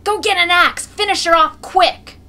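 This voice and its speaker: A woman voicing rowdy, jeering villagers in a story reading, shouting shrilly. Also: very high-pitched, steady tone, normal speed, voice pushed up.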